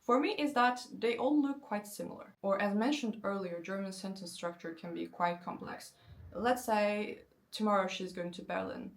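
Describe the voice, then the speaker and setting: Young Turkish woman explaining grammar. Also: mid-range voice. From Turkey. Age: 20s. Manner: lively, conversational, slows down to spell out example sentences. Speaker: language-learning YouTuber. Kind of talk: casual explanatory talk to camera.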